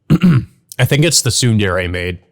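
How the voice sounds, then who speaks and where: A man's voice: on the low side, very animated, slow. A man hosting a let's play, reading a visual novel aloud and adding casual, musing asides to himself.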